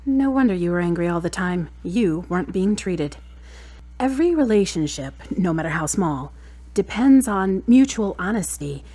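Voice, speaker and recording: A woman's voice: on the low side, lively intonation, moderate pace, warm tone. A woman playing a frank, exacting girlfriend in an audio drama, wry and challenging, with pointed questions. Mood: incredulous, challenging.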